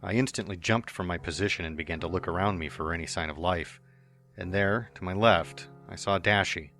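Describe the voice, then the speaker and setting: A man's voice: deep voice, brisk, sharp tone. A man reading a fan story aloud, narrating in an earnest first-person manner. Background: faint background music.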